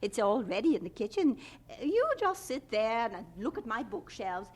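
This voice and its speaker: A woman's voice: on the high side, sing-song, moderate pace, darkish tone, husky. An actress playing a chatty older lady, a gushing and fussy hostess, in a radio drama.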